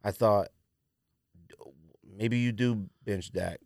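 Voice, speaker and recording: A man's voice: lowish voice, fairly even intonation, takes it slowly, gravelly, darkish tone, dry recording, clean studio sound. A man co-hosting a podcast, chatting casually about sports in an informal, slangy, conversational way. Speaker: podcast co-host.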